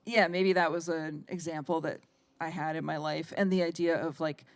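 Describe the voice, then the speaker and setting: A woman's voice: low voice, fairly even intonation, normal speed, no room sound. A woman pianist and composer chatting as a guest in an interview, laughing often and trailing off with fillers. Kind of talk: casual interview conversation.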